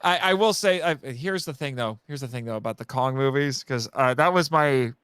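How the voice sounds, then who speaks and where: A man's voice: medium pitch, moderate pace, a bit bright, clean tone, no room sound, clean studio sound. A man in a casual film discussion, speaking enthusiastically and repeating phrases for emphasis like an expert guest. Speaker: podcast guest and monster movie expert.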